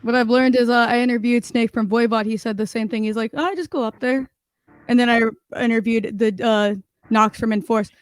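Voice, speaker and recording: A woman's voice: on the high side, steady tone, brisk, no room sound. A young woman hosting an interview, chatting casually with frequent likes and mm-hmms. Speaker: interviewer, podcast host.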